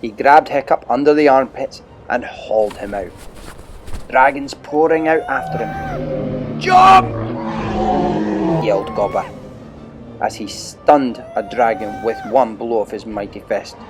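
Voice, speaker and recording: A man's voice: mid-range voice, wildly swooping pitch, unhurried, loud music behind the voice. A man narrating an adventure storybook dramatically as part of an audio play.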